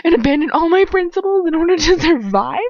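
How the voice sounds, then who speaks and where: Woman doing a defiant young student crying out in agony, high shouting, dry dull audio. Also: animated, fast, dry recording. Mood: anguished shouting. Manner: high, shouting through tears. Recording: dull lo-fi recording.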